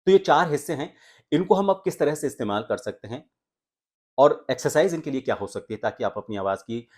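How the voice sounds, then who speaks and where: एक आदमी जो आवाज़ सुधारने का पाठ पढ़ाता है, हमिंग की आवाज़ें करके दिखाता है और हिंदी लहजे में बोलता है।. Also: male voice, medium pitch, expressive, a little fast, darkish tone. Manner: explanatory, demonstrates humming sounds. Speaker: voice coach and anchor. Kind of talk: voice training lesson.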